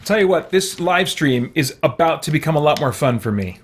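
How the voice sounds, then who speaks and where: A man's voice: a little high, lively intonation, fairly quick, slightly rough. A man giving casual gameplay commentary on a livestream, thinking aloud in a rambling, hesitant way and chatting with viewers.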